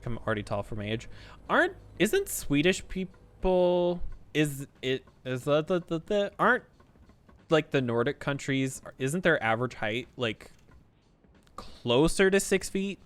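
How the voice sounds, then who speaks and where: A man's voice: fairly high pitch, big pitch swings, unhurried. A man in his twenties chatting casually while playing a game, rambling, swearing freely and joking about himself. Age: 20s. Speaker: streamer.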